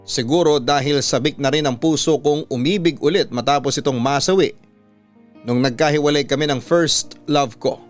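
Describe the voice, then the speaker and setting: A man with a Filipino accent narrating a sentimental first-person love story for radio in a measured, heartfelt way. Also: a little high, faint music behind, close to an everyday voice. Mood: reflective, tender. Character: reflective narrator reading a letter writer's love story.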